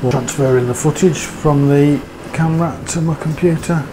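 A man's voice: fairly high pitch, steady tone, normal speed, noisy background. A man giving a casual, rambling motorbike review, with fillers and hesitations. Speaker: motovlogger.

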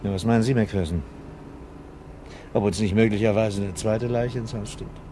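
A droll, gloomy-minded man played by a male actor in an old radio crime play. He talks ponderously and theatrically in a fairly low voice, over faint noise. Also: normal speed, warm tone, close to an everyday voice. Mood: drily gloomy. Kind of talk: German radio drama acting.

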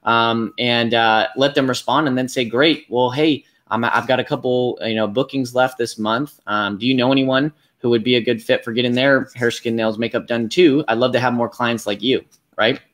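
A man's voice: mid-range voice, normal speed. Young man coaching on a video call. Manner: relaxed, many fillers. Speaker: business coach host. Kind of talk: live video call interview. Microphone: webcam on video call.

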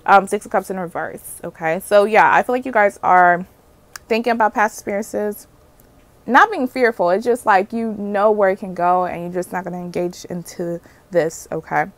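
A woman's voice: mid-range voice, fairly even intonation, moderate pace. A woman with a Haitian accent giving a casual tarot reading, chatty, often asking "okay?" and calling on the universe as she pulls cards. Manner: chatty, repeats "okay?" and "universe, clarify" while pulling cards. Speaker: tarot reader. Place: indoors at a table covered in card decks.

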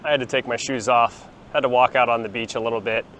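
A man in his forties walks and talks into a handheld phone outdoors, chatting through a relaxed tour with lots of ums and restarts. His voice sits at a middle pitch. Faint outdoor ambience and the odd passing car sit behind him. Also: fast, a little hiss or hum. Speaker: vlogger showing a beach town. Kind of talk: casual walking tour talk to camera. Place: outdoors, sunny beachfront plaza and beach. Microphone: phone held at arm's length, small clip-on mic.

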